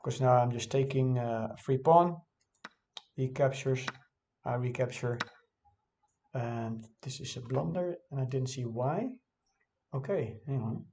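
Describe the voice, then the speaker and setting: A man of middle pitch talks through his own game in English, which is not his first language. He thinks aloud casually, stopping often to correct himself and filling pauses with fillers. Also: warm tone, slight background noise. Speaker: chess vlogger reviewing his own game.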